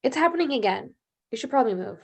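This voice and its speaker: A woman's voice: high voice, animated, fast talker, husky, heavily acted. A woman playing a laconic magical dog girl with casual, short, dry replies in a script read. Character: laconic girl-dog who sniffs, digs and summons lightning.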